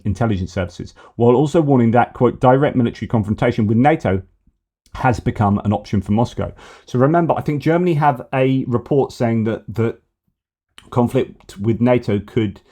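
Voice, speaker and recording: A man's voice: mid-range voice, warm tone. A man hosting a news commentary show, reading articles aloud in a conversational way, breaking off for asides and correcting himself mid-sentence. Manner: conversational, reads quotes with asides and self-corrections.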